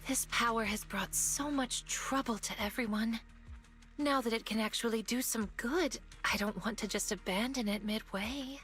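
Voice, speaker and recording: A woman's voice: on the high side, moderate pace, a bit bright, soft background music, natural voice. A woman voicing a composed, polite and resolute young warrior in a game story, speaking rather formally. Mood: wistful, determined. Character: composed, courteous young female warrior with illusion powers. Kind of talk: voiced game story dialogue.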